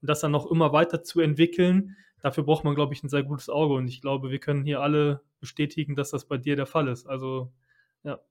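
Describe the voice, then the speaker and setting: A man's voice: a little high, little pitch movement, brisk, darkish tone. A man chatting casually as co-host of a podcast interview. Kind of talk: casual chat.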